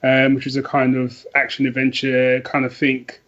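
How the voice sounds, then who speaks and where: A man's voice: medium pitch, completely flat delivery, normal speed, a bit bright, lo-fi sound. A man chatting casually as an interview guest, self-deprecating and prone to rambling asides. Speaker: podcast guest, author.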